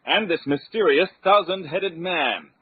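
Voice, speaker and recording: An actor playing a calm, commanding adventurer hero, measured and unruffled, in the style of an old-time radio serial. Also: male voice, on the high side, slightly rough, dry recording. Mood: composed. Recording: tinny narrow-band radio recording with hiss.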